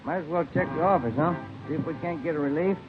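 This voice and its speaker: A man's voice: fast talker, close to an everyday voice. Man playing an easygoing American cop, tinny old radio. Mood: casual. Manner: low-key, conversational. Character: easygoing, polite male police detective sidekick. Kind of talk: 1950s radio drama acting. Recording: tinny vintage radio recording with music.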